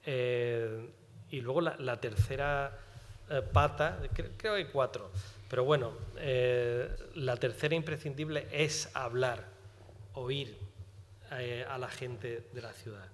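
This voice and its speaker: A man's voice: mid-range voice, slow pace. Man with a Granada accent, echoing hall. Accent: Granada Andalusian. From Granada, Spain. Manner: hesitant, many fillers, explanatory. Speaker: panelist writer. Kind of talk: round-table discussion. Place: lecture hall at a public panel. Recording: reverberant hall.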